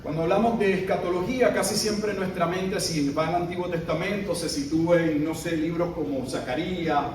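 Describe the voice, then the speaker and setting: Middle-aged Puerto Rican man, pastor, measured teaching style, faint ambience behind him. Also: sits high, fairly even intonation, a little mellow, slight background noise. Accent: Puerto Rican Spanish. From Puerto Rico. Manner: measured teaching delivery with pauses and fillers. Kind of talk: conference sermon lecture. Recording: echoey room, mic at a distance.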